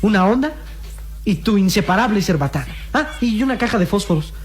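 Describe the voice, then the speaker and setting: Radio serial boy companion, acted: earnest, respectful, wondering, medium pitch, light music behind. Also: animated, brisk, natural voice. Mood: wry worry. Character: earnest, curious young boy companion of the hero. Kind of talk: Latin American radio serial acting.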